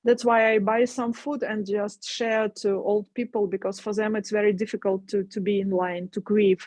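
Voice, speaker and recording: A woman's voice: medium pitch, steady tone, quick pace, darkish tone, no room sound. A Ukrainian woman whose first language is Russian, answering interview questions earnestly and sometimes searching for words in English. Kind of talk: remote interview.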